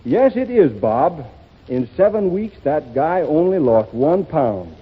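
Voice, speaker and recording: An actor playing a stern, bossy gym instructor in a 1930s radio comedy sketch, brisk and scolding. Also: male voice, fairly high pitch, big pitch swings, husky, exaggerated voice. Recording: tinny reverberant old radio broadcast.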